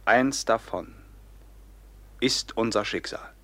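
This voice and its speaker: A man's voice: fairly low pitch, takes it slowly, fairly bright tone. An actor playing an anguished, betrayed young man turned grim robber captain, declaiming with passion in a classic German radio drama. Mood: solemn, fatalistic. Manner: declamatory, impassioned, bursting from whispers into cries.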